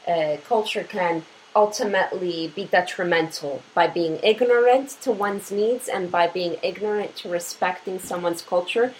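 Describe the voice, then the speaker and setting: Polish-Canadian woman host, lowish voice, earnest and measured, light background noise. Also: rough recording. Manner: earnest, measured, repeats phrases. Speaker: interviewer. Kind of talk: interview.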